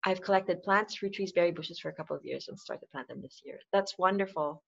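A woman's voice: on the low side, fast talker, creaky, a bit bright, slight background noise. Chatty filipino-born lady hosting a zoom garden talk, forties, kinda muffled laptop mic. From Philippines. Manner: chatty, laughs often. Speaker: webinar host. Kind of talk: casual video-call hosting. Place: dim room at home. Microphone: laptop webcam mic. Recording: slightly muffled call audio.

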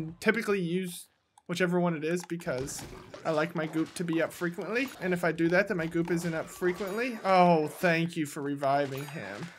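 A young man with a high-pitched voice for a man, giving playful, casual commentary while he plays, talking to himself and his viewers through a headset mic in a small room at home. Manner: playful, talks to himself and the viewers, sudden loud yelps. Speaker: gaming YouTuber.

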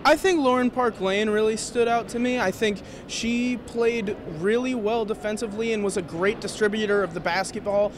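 A man's voice: high-pitched, normal speed, few pauses, background noise. A young man giving an earnest, detailed postgame basketball analysis courtside, speaking into a handheld mic. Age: young adult. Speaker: student sports analyst. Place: courtside in a large indoor arena.

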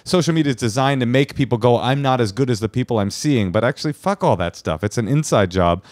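A man's voice: medium pitch, animated, quick pace, darkish tone, no room sound. Podcast co-host, man, loose jokey advice, dry close mic. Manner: rambling, jokey, quotes sayings. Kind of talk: casual advice chat. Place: living room studio with patterned wallpaper. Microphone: handheld mic, headphones.

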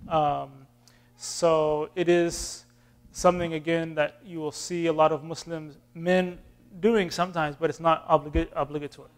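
A man in his thirties from Austin, Texas, an imam answering questions in a relaxed, classroom way with fillers and restarts, filmed by a far camera in a community center room. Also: on the high side, normal speed. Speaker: imam teaching an intro class.

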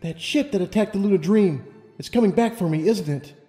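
Guy doing a worried young girl unicorn, high soft voice, agitated, storybook, music behind. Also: brisk, close to an everyday voice. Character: anxious, defiant young female unicorn pony. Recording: long reverb, music underneath.

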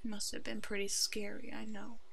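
A woman voicing a brave, protective werewolf in an ASMR roleplay, soothing and halting, with a soft, hushed delivery. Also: medium pitch, little pitch movement, creaky, a little hiss or hum. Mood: tender, protective.

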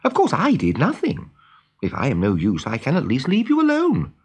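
Narrator doing storybook little girl, high pitch, prim, curious, earnest, very animated. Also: brisk, slightly rough, full character voice. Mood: indignant. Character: young girl, kindly, prim, curious, easily offended.

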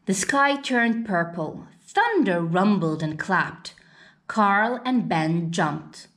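A woman narrates a children's storybook with very varied, animated intonation. She takes her time over each phrase, reading slowly and deliberately in a mid-pitched voice. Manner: unhurried, deliberate read-aloud delivery. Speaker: storybook reader.

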